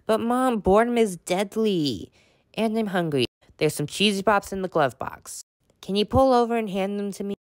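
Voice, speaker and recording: Woman doing a whiny, excitable little girl. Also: medium pitch, moderate pace, dry recording, studio quality, natural voice. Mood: bored, whiny. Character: whiny, impatient young girl, horse-loving princess type.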